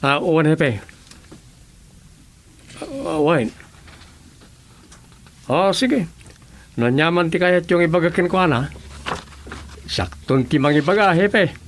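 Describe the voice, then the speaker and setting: Earnest deferential village official, ilocano accent, radio drama, faint noise behind. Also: male voice, on the high side, lively intonation, slow, long pauses. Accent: Filipino, Ilocano. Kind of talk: comedy radio drama acting.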